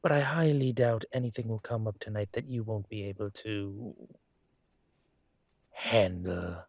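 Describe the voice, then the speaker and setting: A man acts out a dragon mafia boss in human guise for an intimate audio roleplay. His voice is lowish and breathy, and he speaks very slowly and deliberately, with a commanding, teasing charm. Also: a little mellow, dry recording. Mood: firm, exasperated.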